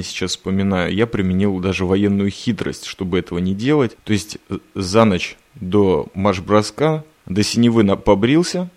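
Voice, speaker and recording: A man hosting his own podcast, telling unhurried memories in a wry, self-ironic way. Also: lowish voice, darkish tone. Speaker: podcast host. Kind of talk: reflective monologue.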